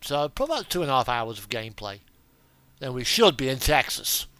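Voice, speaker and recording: A man's voice: medium pitch, sing-song, fairly quick, fairly bright tone, no room sound. A man giving casual gaming commentary as he plays a driving simulator, talking to himself and the game, sometimes swearing. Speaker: streamer playing a truck driving simulator.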